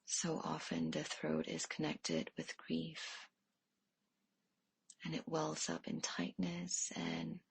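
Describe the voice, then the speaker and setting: Slow, breathy woman guiding meditation. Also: low-pitched, no echo. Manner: soft, breathy, hushed delivery with pauses. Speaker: meditation guide. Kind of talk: guided meditation.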